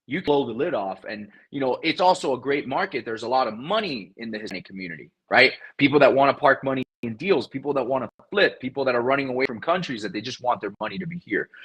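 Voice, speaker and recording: A man whose first language is Spanish, speaking in a casual interview as a guest, energetic and talkative, often repeating words as he restarts. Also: medium pitch, breathy edge, poor audio. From South Florida. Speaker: guest, real estate investor.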